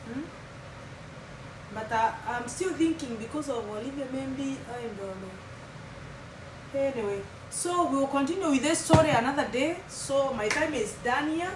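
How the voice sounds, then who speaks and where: A chatty Kenyan woman in her thirties tells her life story to her viewers, her voice sitting slightly high. She is recorded from a distance in a roomy, echoing space. A steady, loud noise runs underneath her talk. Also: unhurried. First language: Kimeru. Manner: chatty, talks straight to viewers, drops Swahili phrases. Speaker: vlogger. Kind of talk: casual storytelling vlog. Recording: echoey room from far off, loud background hum.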